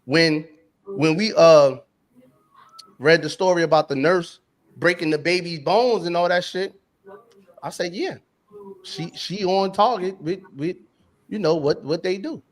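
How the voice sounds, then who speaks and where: A man's voice: a little high, measured pace, pauses often. A man from New York, a podcast co-host, talking bluntly in casual debate, full of slang and repeating himself.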